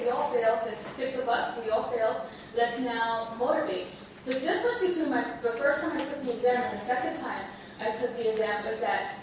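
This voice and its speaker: A woman whose first language is Spanish, a bit under the weather, telling her story to an audience in an earnest, halting way with many restarts. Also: fairly high pitch. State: under the weather, slight cold. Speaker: panel speaker. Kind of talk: personal talk to an audience. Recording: echoey hall, far from mic, noisy.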